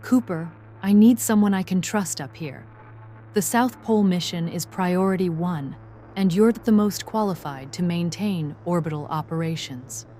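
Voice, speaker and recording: An actress playing a serious space mission commander who speaks with grave authority in an audio drama. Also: female voice, on the low side, normal speed, warm tone, a little hiss or hum, lightly acted.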